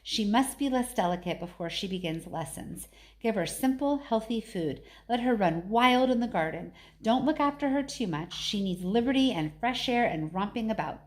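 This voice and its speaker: Weary gentleman guardian for a children's storybook woman narrator plays him, brooding yet softly kind and musing. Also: medium pitch, expressive, natural voice. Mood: instructing. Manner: slow, musing, kindly.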